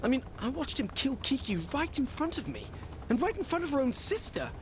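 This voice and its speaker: A man's voice: very high-pitched, wildly swooping pitch, a little fast, full character voice, poor audio. An actor playing a boastful, nervy young male hacker in a video game audio log, chatty and indignant. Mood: rueful, agitated. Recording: loud game gunfire and helicopter noise.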